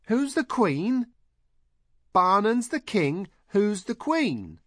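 A man voicing an excitable, simple-minded, childlike woman with shrill exclamations and rustic phrasing in an audiobook. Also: moderate pace, no room sound, studio quality. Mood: excited, insistent. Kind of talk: audiobook character voice.

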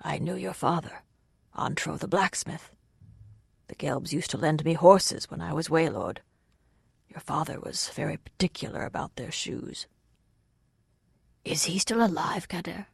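A storybook narrator voicing a calm, kindly older lord, soft and peaceable, asking gentle questions without urgency. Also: medium pitch, a little slow, gravelly, pauses often, breathy edge, no room sound, exaggerated voice. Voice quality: soft, hushed.

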